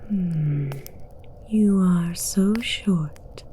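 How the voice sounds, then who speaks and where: An imperious dragon queen, acted by a woman, speaks in a breathy, intimate whisper for an ASMR roleplay. Her delivery is extremely unhurried and regal, with a slightly low, soft and mellow tone. She sounds seductive, amused and condescending, over faint background noise. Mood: possessive, teasing. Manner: slow, regal, formal phrasing with drawn-out pauses. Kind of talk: whispered ASMR roleplay, scripted character monologue.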